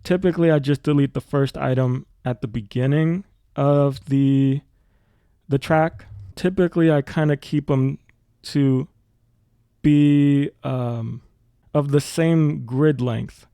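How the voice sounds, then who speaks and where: A man's voice: medium pitch, steady tone, slow, mellow, smooth, dry recording, clean studio sound. A man giving a casual tutorial as a music production teacher.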